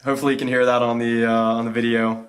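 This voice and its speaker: A man's voice: mid-range voice, flat delivery, fast. A young man giving an informal walkthrough of a student project, talking in a hesitant, laid-back, off-the-cuff way. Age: young adult. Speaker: student presenter.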